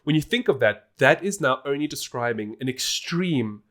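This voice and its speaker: A man's voice: mid-range voice, animated, moderate pace, fairly bright tone. A man hosting a podcast, explaining things in a casual, informal and emphatic way. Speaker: podcast host.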